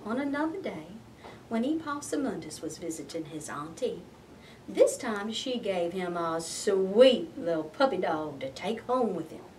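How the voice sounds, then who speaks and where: A woman reading a picture book aloud as expressive narration, like a librarian at story time. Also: mid-range voice, a little slow, far from the mic, a little hiss or hum, rough recording.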